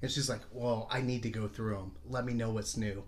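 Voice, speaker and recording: A man's voice: medium pitch, fairly even intonation, fairly quick, slight background noise. A man chatting casually, telling a loose story and joking. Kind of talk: casual chat.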